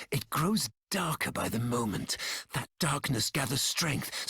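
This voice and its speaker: An actor playing a grandiose, bitter, scheming tyrant in a video game, theatrical and pompous, often dropping toward whispers. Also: male voice, medium pitch, a bit bright, heavily acted. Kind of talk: scripted video game voice acting. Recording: long hall-like reverb.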